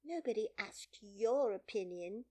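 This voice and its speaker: A woman voicing a polite, curious young girl in a storybook, earnest and questioning, quick to take offence. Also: medium pitch, lively intonation, a little mellow, voice pushed up. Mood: offended.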